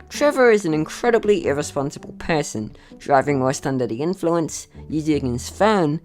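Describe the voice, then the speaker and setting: A young narrator tells a scripted story with a mock-serious manner. The pitch sits in a middle range and swings in a lively way, with music playing behind the voice. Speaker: video creator narrating own short film.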